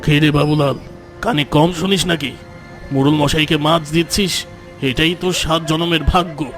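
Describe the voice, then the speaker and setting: A man's voice: a little high, steady tone, normal speed, close to an everyday voice. A man voicing a scornful village headman's henchman in a cartoon, bossy and scolding. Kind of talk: cartoon story acting. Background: music.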